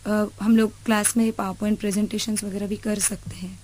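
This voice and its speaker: A woman's voice: mid-range voice, little pitch movement, normal speed, a little mellow, slight background noise. A middle-aged woman with an Indian accent answering in an interview, explaining with repeats and rephrasing. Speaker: college lecturer, interview guest. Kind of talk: conversational interview answer, Hindi mixed with English.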